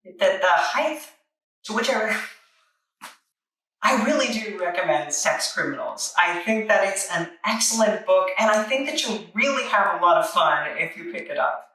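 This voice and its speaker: A bright-voiced show host reviews in a casual, playful way with tongue-in-cheek asides. The voice is very echoey and sits back from the mic, with music underneath. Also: mid-range voice, animated, moderate pace, recorded from a distance.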